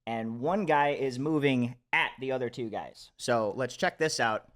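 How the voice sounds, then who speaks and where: A man hosts a casual podcast in a mid-pitched voice. He talks informally, with some swearing, and introduces things as he goes. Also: a little slow, a bit bright. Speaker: podcast host.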